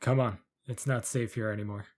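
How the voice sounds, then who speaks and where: A man playing a terse, protective father who is a tough fighter in an audio fantasy play, with a husky voice. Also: on the low side, steady tone, fairly quick, a little mellow, close to an everyday voice. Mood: urgent, protective. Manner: terse, firm.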